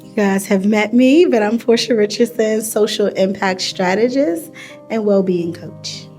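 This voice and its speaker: A woman acting as the event emcee, making deliberate introductions. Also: mid-range voice, darkish tone. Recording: live event with crowd and music.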